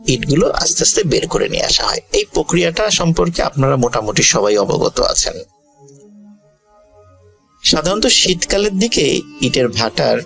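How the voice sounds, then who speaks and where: A man narrating a ghost story to listeners as a storyteller, in a measured, formal and polite manner. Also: medium pitch, vocal fry, a bit bright. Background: music.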